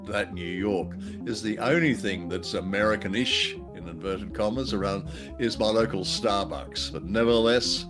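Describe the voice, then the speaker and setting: A man co-hosting a conversational review of radio drama, speaking in a halting, wry way. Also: lowish voice, over music. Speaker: co-host and commentator.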